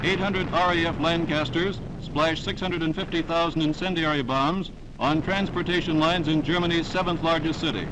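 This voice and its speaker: A man's voice: on the high side, steady tone, normal speed, few pauses, very noisy. A man narrating a 1940s newsreel in a dramatic, declamatory scripted style, on a vintage soundtrack.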